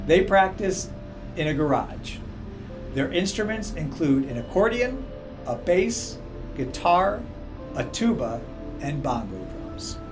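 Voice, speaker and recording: A man reading a children's book aloud in a measured, expressive way. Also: fairly high pitch. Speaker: story reader. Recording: music playing behind. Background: background music.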